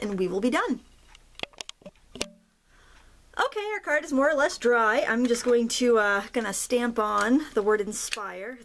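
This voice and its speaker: A woman hosting a craft tutorial, chatting in a relaxed, rambling way as she paints. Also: fairly high pitch, vocal fry, slight background noise. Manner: relaxed, rambling, with fillers and soft laughs. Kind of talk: casual chatty tutorial narration.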